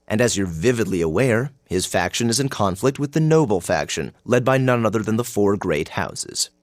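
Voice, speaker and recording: Man playing a haughty, low-voiced young noble. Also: animated, no room sound, studio quality, close to an everyday voice. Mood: disdainful. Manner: haughty, measured, disdainful. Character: haughty, coolly sardonic young male noble student. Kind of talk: video game voice acting. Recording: music behind the voice.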